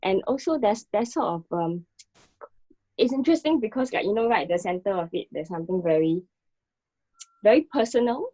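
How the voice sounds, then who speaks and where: A woman's voice: mid-range voice, lively intonation, a little fast, pauses often, dark tone, no echo. A woman talking casually and reflectively as an artist, hesitant and a little self-conscious as she searches for words, on a muffled recording. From Singapore. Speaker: artist in dialogue with a fellow artist.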